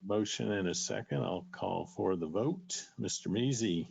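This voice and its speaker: A man's voice: fairly low pitch, lively intonation, measured pace, gravelly, a little mellow, husky. A man chairing a committee meeting, calling a roll vote in brief, procedural lines.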